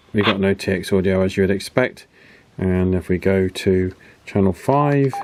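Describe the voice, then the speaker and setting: A man giving a casual hands-on repair demonstration as host, unhurried and thinking aloud through each step. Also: low-pitched, background noise. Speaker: host of a radio repair channel.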